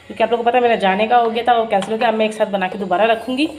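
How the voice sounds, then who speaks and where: Woman, sore throat, chatty home cooking vlog, talks straight to viewers, slightly high voice, kitchen. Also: steady tone, very fast, darkish tone. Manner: chatty, step-by-step, addresses viewers directly. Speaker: home vlogger. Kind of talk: casual cooking vlog narration.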